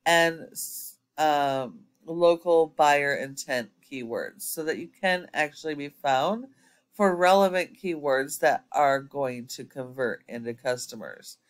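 A man's voice: fairly high pitch, clean tone. Man talking through an SEO audit. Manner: explanatory, with frequent ums. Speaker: SEO consultant. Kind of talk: screen-recorded website audit walkthrough.

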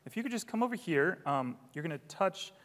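A man's voice: high voice, lively intonation, fairly quick, a bit bright. A man in his thirties presenting a product launch keynote on a large auditorium stage, upbeat and theatrical. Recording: long hall reverb.

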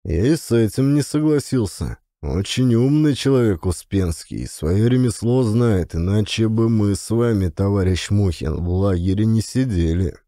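A man narrating a first-person autobiographical audiobook in an expressive storytelling style. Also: lowish voice, moderate pace, hardly any pauses, no room sound, studio quality. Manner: varies voice for characters' dialogue.

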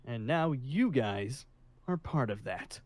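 A man's voice: mid-range voice, animated, no room sound. Smug, commanding colonel played by a man, dry sound. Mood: smug, calm. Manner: commanding, smug, drawn-out persuasion. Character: smug, menacing male colonel leading a survivor haven. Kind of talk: improvised game roleplay.

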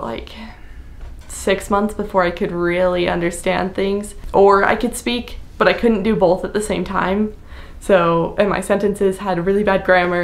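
A young woman from the United States chatting casually to the camera in a small room, relaxed and full of "like" fillers. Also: lowish voice, creaky. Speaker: vlogger. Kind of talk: casual talking-head vlog. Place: small indoor room with plain wall.

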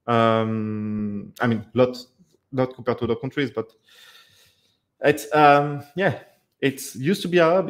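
A man in his thirties hosting a live webcam Q&A, talking casually with many fillers and restarts, recorded in a dim indoor room. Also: mid-range voice. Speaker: livestream host answering viewer questions. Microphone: headset or desk mic, webcam framing.

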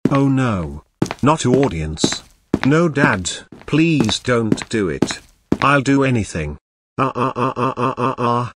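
A man's voice: mid-range voice, big pitch swings, slow pace, background noise. A man voicing a bratty young boy who rants and insults in a cartoon. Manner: whiny, insulting ranting. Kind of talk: cartoon grounded-video dialogue.

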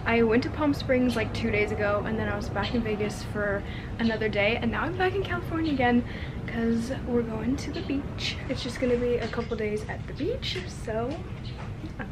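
Young woman, chirpy vlogger, slightly high voice, gushing delivery, noisy camera audio. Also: normal speed. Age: young adult. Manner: bubbly, gushing, upbeat. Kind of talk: casual vlog chat. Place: indoors and outdoors, car and rooms. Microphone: handheld camera at arm's length. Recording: camera mic with clear background noise.

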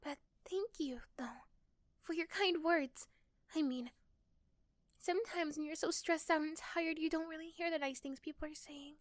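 A woman voicing a young, caring but easily flustered girl next door in an ASMR roleplay, with an emotional, halting delivery. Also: high-pitched, no room sound, clean studio sound, voice pushed up, natural voice. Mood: tender, grateful.

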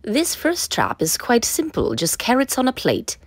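Female storyteller for kids' picture book: lively melodic range, slightly breathy texture, dry close sound, sing-song rhyme delivery. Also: on the high side, normal speed, no room sound. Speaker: storyteller reading a children's picture book aloud. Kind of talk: expressive read-aloud narration.

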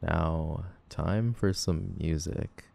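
A man's voice: extremely low pitch, extremely slow, gravelly, dark tone, full character voice. A man playing a cocky, grumpy tall teenage delinquent boy in an audio roleplay, sarcastic, teasing and dismissive. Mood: dry, teasing. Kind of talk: boyfriend ASMR audio roleplay.

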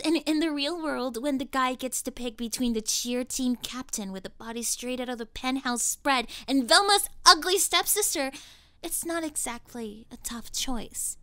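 A woman's voice: sits high, pushed and bright, dry recording. A woman voicing a catty, spoiled teenage cheerleader with a scornful, sarcastic manner in an audio roleplay. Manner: scornful, sarcastic, laughing derisively. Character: snobby mean popular teenage cheerleader girl, spoiled and catty. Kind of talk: ASMR roleplay acting.

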